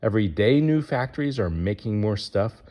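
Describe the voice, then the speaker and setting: A man's voice: on the low side, expressive, moderate pace, dark tone. A man reading a rhyming children's picture book aloud as its narrator.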